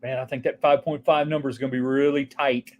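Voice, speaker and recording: A man's voice: medium pitch, flat delivery, quick pace, a little mellow, slight background noise. A man hosting a casual solo sports talk show, rambling from topic to topic and answering viewer texts. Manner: rambling, offhand, jumps between topics.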